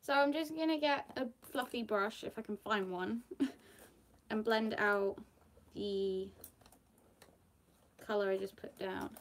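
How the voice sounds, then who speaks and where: A woman's voice: mid-range voice, slow pace, pauses often. Young woman, British accent with an Australian touch, chatty, giggly, rambling, casual makeup vlog. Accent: British, with a slight Australian tinge. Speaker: makeup vlogger. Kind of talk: casual get-ready-with-me chat.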